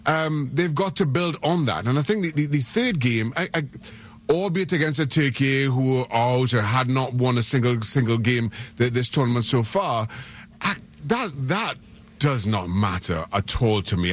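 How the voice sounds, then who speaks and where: A middle-aged man from Trinidad and Tobago, a soccer analyst on sports radio, speaking earnestly and often repeating words as he gathers his thoughts. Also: medium pitch. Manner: earnest, frequent stammered repeats.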